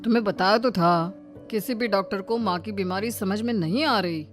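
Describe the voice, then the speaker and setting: Casting: woman as even-tempered husband type for cartoon family story, mid-pitched, reassuring. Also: normal speed, lightly acted. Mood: calm, reassuring. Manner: reassuring, explanatory. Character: calm, placating family men, husband and father-in-law. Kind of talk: cartoon moral story acting. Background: faint music.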